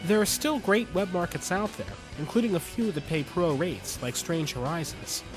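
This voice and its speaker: A man with a slightly high voice delivers scripted commentary and story narration. He is earnest and measured, phrasing things deliberately. Music plays clearly behind him. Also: rough recording. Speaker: podcast host and story reader.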